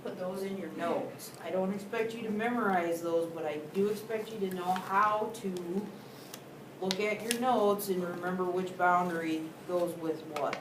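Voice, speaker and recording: A woman math teacher giving a slow, deliberate classroom lecture to students. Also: fairly low pitch, steady tone. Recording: echoey classroom, distant, noisy.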